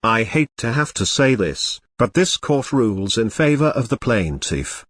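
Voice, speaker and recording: A man playing a measured, formal judge in an amateur audio drama. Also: medium pitch, sing-song, fairly bright tone, no echo. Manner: measured, official.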